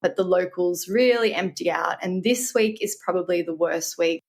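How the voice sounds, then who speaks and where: A woman's voice: fairly low pitch, normal speed. A woman from Australia with an Australian accent, a wine expert guest talking in a friendly, easy way. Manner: friendly, laughs easily. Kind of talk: casual interview chat.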